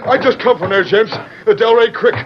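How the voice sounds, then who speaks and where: Radio western crook: very high, folksy, hollering braggart, dull vintage sound. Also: male voice, a little fast, noisy background. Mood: excited boasting. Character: excitable male swindler, crooked gold-rush ringleader. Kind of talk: 1940s radio western acting. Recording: muffled 1940s radio broadcast, crowd behind.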